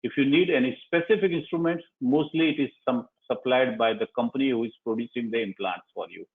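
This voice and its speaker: A man with an Indian accent moderates an online question session as a surgeon, explaining in a measured way with hesitant pauses. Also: mid-range voice. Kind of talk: live online discussion, answering audience questions. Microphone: online video call. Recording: muffled, narrow-band internet call audio.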